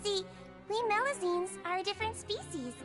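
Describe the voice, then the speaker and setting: Soft sweet tiny nurse creature, really high voice, calm. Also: female voice, a little fast. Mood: gentle. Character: gentle small female nurse of a non-human species. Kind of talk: game dialogue. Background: game music.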